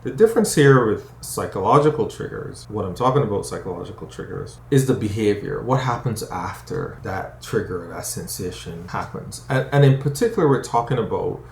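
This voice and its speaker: A man's voice: lowish voice, lively intonation, brisk, creaky, few pauses, a little hiss or hum. A man from Barbados, a conflict coach and psychologist, giving an educational talk to camera in a conversational way and often asking "right?". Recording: roomy echo.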